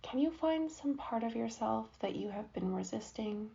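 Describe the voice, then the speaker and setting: A woman guiding a yoga nidra meditation in a soft, soothing way, with long pauses between phrases. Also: a little high, expressive, creaky. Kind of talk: guided meditation. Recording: dull lo-fi recording.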